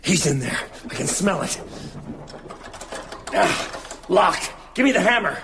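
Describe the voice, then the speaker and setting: An actor playing a haunted but determined novelist turned vampire hunter in a radio drama. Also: male voice, high voice, very animated, takes it slowly, background noise, full character voice. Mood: frantic, determined. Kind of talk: radio drama acting with first-person narration.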